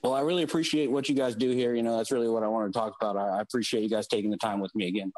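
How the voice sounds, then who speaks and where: A man phoning a talk show as an admiring caller, eager and flattering, with some hesitation. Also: fairly low pitch, racing, low-quality recording. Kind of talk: prank call posing as an admiring supporter.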